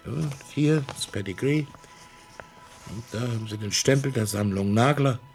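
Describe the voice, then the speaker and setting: An actor playing a proud, stubborn old art collector, speaking with fervent, boastful enthusiasm in a radio drama. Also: male voice, mid-range voice, normal speed, darkish tone, soft background music, natural voice. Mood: enthusiastic. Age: older.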